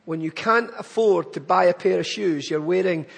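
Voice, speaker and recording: A man's voice: high-pitched, normal speed, vocal fry, slightly rough, long reverb tail. A man with a Glaswegian accent giving an earnest, pleading charity appeal in church. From Scotland. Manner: earnest, pleading, building to emphatic lists.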